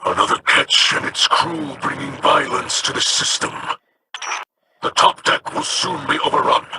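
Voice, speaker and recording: An actor voicing a solemn, dutiful male alien ally in a video game, gravelly and formal in manner. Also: medium pitch, little pitch movement, background noise, lightly acted. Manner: formal, measured. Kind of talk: video game character dialogue.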